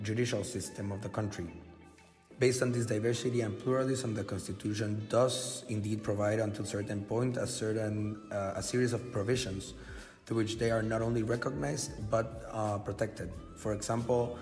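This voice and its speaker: A man's voice: fairly low pitch, darkish tone. Formal Guatemalan man with reverb. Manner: formal, measured, with filler uhs. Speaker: podcast guest speaker. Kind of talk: prepared talk.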